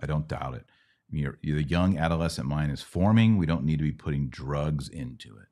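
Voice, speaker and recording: A middle-aged American man with a very low-pitched voice hosts a casual teaching show. He explains things informally and didactically, in short conversational pieces. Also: moderate pace, dark tone. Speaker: podcast host. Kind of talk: live Bible Q&A, casual teaching.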